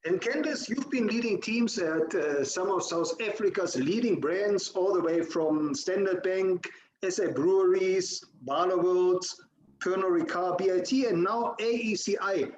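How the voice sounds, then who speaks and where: A man hosting an interview, asking polite, measured questions. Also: high-pitched. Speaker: interviewer, host. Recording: echoey room sound.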